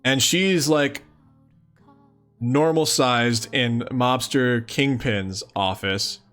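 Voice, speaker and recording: A playful, chatty man reading a webcomic aloud and commenting casually on a livestream, often giggly and thinking out loud. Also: mid-range voice, extremely slow, faint music behind. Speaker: streamer.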